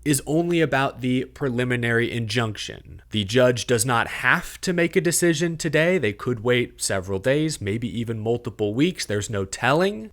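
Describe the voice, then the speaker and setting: An American man hosting a motorsport news show, giving a scripted recap and commentary in a clear, explanatory, emphatic way. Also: medium pitch.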